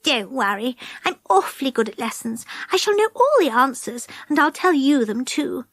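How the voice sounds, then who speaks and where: Woman doing a chatty young girl, very high and lively, storybook. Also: normal speed, dry recording, heavily acted. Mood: eager.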